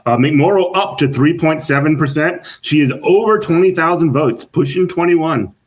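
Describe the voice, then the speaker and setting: A man's voice: on the high side, normal speed, rough recording. Laid-back swearing male streamer. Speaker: stream co-host. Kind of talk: casual livestream chat. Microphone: laptop setup on the road.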